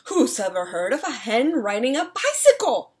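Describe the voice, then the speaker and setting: Woman as a high-voiced, flustered storybook señora. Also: sing-song, normal speed. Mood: astonished. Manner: exclaiming, emphatic. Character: animal-loving señora, kindly but easily flustered. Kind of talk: storybook read-aloud.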